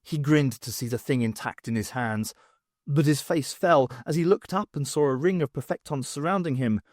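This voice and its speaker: A man's voice: medium pitch, moderate pace, a little mellow, no echo, studio quality. A man reading scripted story narration as the narrator of an audiobook.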